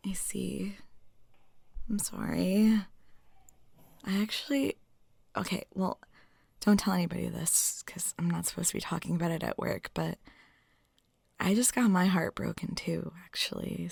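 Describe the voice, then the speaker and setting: A woman playing a flirty, lonely, talkative waitress in an intimate roleplay, chatting softly and casually and sometimes dropping near a whisper. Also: on the low side, gravelly, husky, no echo, heavily acted. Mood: confiding, tender. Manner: soft, rambling, giggly, drops into near-whispers. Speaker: audio roleplay performer.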